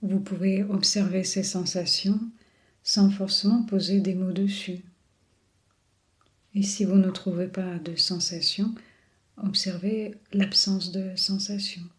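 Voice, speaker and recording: A woman leading a guided body scan meditation in a soothing, unhurried manner with long pauses. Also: medium pitch, level tone. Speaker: yoga and relaxation guide.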